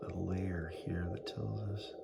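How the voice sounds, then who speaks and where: A man's voice: deep voice, fairly even intonation, slow pace, gravelly, heavy background noise. An American man giving a casual running commentary as he operates a radio, thinking aloud and muttering to himself. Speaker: amateur radio operator and vlogger.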